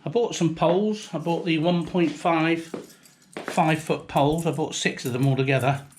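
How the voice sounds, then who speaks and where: A man's voice: a little high, steady tone, normal speed, slight background noise. A British man in his fifties giving a chatty, unpolished, rambling show-and-tell as a hobbyist, recorded outdoors on a handheld device. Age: 50s. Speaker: hobbyist video maker. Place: outdoors beside a house, breezy. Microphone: handheld camera or phone.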